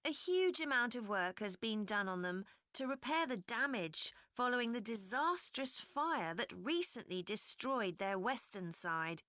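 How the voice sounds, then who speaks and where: Lady giving a visitor info talk, takes it slow, pitch moves around a lot, scripted. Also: sing-song, pushed and bright, dry recording, heavily acted. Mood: informative. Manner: measured, clearly explanatory. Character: informative park representative giving a visitor presentation. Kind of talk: scripted informational presentation, listening test recording.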